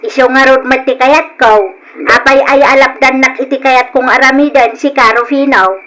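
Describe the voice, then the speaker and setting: A woman's voice: high voice, steady tone, normal speed, fairly bright tone, slight background noise, rough recording. An actress playing a stubborn, demanding older mother-in-law in a radio drama, brash and insistent, with a Filipino accent. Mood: stubborn, dismissive. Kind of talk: Ilocano radio drama acting.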